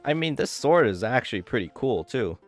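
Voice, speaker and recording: A young man giving casual gameplay commentary, low-key and thinking aloud as he goes. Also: big pitch swings, brisk. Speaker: gaming video creator. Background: game music.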